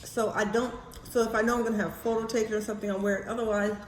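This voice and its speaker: A woman's voice: mid-range voice, little pitch movement, racing. An American woman telling personal stories in a casual, rambling vlog style, candid and blunt as she thinks aloud. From United States. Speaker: vlogger. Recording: roomy echo, faint background noise.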